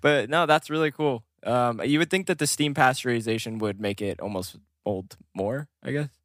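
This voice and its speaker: A man's voice: mid-range voice, normal speed, a bit bright, no room sound. Man chatting in a dry room. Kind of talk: casual, explanatory podcast chat.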